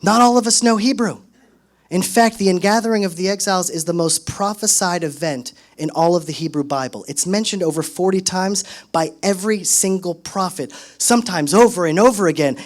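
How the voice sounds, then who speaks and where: Brief: high-pitched man, 30s, lively storyteller at a podium, punches up quoted lines. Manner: animated, acts out quotes in raised voice. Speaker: speaker at a solidarity event. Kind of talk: storytelling speech to an audience.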